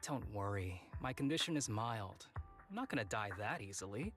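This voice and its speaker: A man's voice: fairly low pitch, expressive, moderate pace, close to an everyday voice. A man voicing an easygoing young fighter with a hidden painful past in a video game, breezily downplaying serious things. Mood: wry. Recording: background music under the voice.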